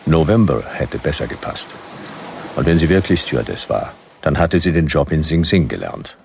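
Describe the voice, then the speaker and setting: Very deep, gravelly sardonic detective. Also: male voice, very animated, normal speed, a little mellow, a little hiss or hum, exaggerated voice. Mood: dry, sardonic. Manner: dry, sardonic, clipped phrases. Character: laconic sardonic male private detective narrating his case. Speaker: narrator and lead character. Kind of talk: hard-boiled radio play narration. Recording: music behind the voice. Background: music.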